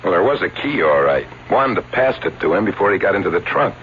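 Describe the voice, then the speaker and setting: An actor playing a loyal, defensive escape-act associate in a 1970s radio drama, speaking in an earnest but evasive way. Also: male voice, deep voice, big pitch swings, quick pace. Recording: muffled, faintly noisy old radio broadcast.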